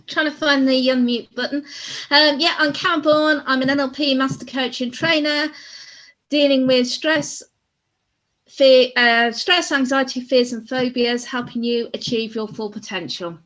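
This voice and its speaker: High-voiced woman coach introducing herself. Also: steady tone, a little slow, fairly bright tone, rough recording. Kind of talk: short self-introduction.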